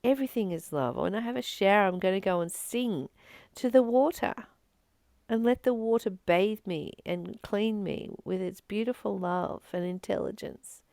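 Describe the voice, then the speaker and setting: A woman reading aloud and reflecting on spiritual teachings in a deliberate, emphatic way, with pauses between phrases. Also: mid-range voice, vocal fry, a little mellow, no room sound. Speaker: host of a spiritual reading channel.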